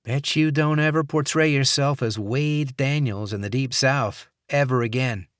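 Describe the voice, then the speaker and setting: A man's voice: mid-range voice, measured pace, no room sound, clean studio sound, voice pushed up, natural voice. A man voicing a wry male undercover partner in an audiobook.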